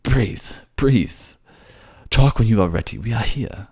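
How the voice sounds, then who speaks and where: A man playing a brisk, soothing, protective mage king in a fantasy audio drama, with animated, emphatic delivery. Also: lowish voice, breathy edge, pitched above the performer's own voice, exaggerated voice.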